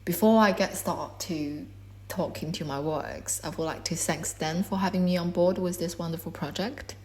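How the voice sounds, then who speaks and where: A woman in her twenties whose first language is Korean gives a measured, reflective talk about her art. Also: on the low side, darkish tone. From China. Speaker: artist. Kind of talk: prepared artist talk.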